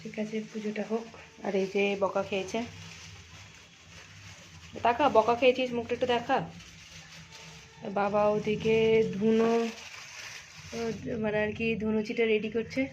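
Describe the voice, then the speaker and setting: High-voiced woman vlogger, lo-fi sound. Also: flat delivery, a little slow, long pauses. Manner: chatty, informal, mixes in English greetings. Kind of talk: casual family vlog narration, chatting to viewers. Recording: dull lo-fi home video, faint noise.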